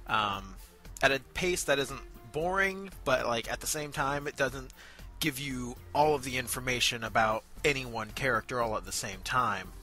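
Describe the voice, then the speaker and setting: A man co-hosting a review show in casual chat, speaking hesitantly with many pauses. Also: mid-range voice, sharp tone. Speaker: co-host of an amateur voice acting review show. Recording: background music under voice.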